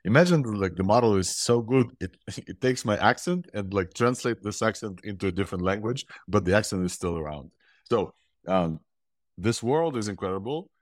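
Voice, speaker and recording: A man's voice: on the low side, animated, moderate pace, no echo, studio quality. A man from Ukraine with a mixed Russian and Israeli accent, hosting a casual tech news talk with enthusiasm and rambling restarts. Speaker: podcast host.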